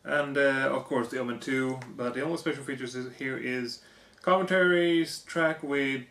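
A hoarse young man on a living room couch, casually reading off movie box features. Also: medium pitch, fairly even intonation, moderate pace, noisy background. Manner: reads off lists, offhand comments. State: hoarse, losing his voice. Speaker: vlogger. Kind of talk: casual unboxing chat. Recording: echoey room.

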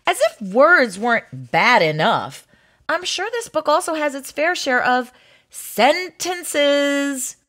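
A woman's voice: high-pitched, bright, forceful tone, exaggerated voice. A woman voicing a grumpy, exasperated child who hates reading, melodramatic and complaining, in a storybook read-aloud. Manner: melodramatic, complaining, drawn-out emphasis.

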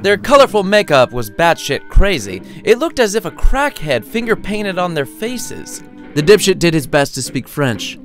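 A man narrates a comedic short story with very animated intonation and a slightly high voice. His telling is wry and theatrical, over faint music. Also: moderate pace. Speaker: author reading his own short story.

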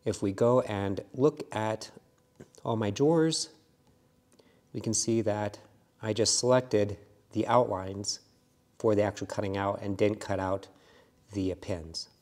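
Lower-pitched man explaining a software tutorial. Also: unhurried, long pauses. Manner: relaxed, explanatory, with frequent fillers. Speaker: woodworking instructor. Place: wood workshop indoors. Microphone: lapel mic.

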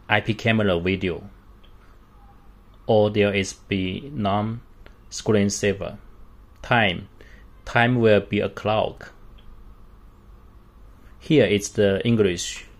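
Guy doing a slow product demo, lowish voice, choppy phrases, non-native english. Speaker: product demonstrator. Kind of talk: unscripted product walkthrough.

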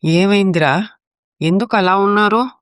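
A caring yet reproachful old matriarch played by a woman in a family story. Her voice is very clear and smooth, recorded dry. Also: medium pitch, measured pace, dry recording, close to an everyday voice. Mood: concerned. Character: elderly mother-in-law, caring but reproachful.

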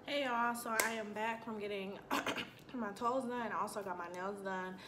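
A woman's voice: mid-range voice, moderate pace, roomy sound. A woman in her thirties chatting casually to her camera, rambling with lots of "like" and "y'all", a little congested. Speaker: vlogger, boutique owner. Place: small room indoors.

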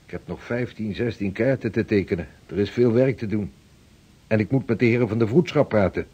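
An actor playing a driven, devout sixteenth-century ship's captain in a radio drama, speaking formally and with command. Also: male voice, lowish voice, a little fast, warm tone, breathy edge, highs cut off. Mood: weighty, evasive.

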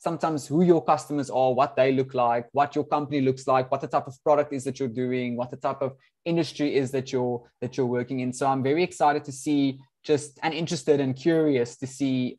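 A man whose first language is Afrikaans opens a webinar over a video call. His voice sits in an ordinary middle pitch. He speaks in a friendly, loose way, leaning on frequent ums. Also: a little mellow. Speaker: host. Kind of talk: webinar introduction.

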